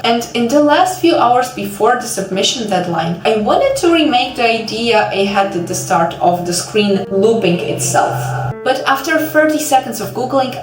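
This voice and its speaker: An echoey, slightly distant recording of a young woman from Ukraine with a fairly high voice. She narrates informally, joking at her own expense as she talks through her project. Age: young adult. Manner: self-deprecating, jokey. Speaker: indie game developer and video host. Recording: echoey room, distant mic.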